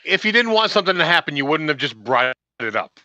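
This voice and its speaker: A man's voice: a little high, sing-song, quick pace, pushed and bright, dry recording, dull audio. A man co-hosting a podcast, making dry wisecracks in casual chat. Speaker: podcast co-host.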